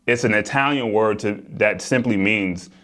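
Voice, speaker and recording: A man's voice: on the low side, normal speed, fairly bright tone. A man with an American accent talking casually as a real estate developer and agent, explaining his work. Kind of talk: casual explanatory vlog talk.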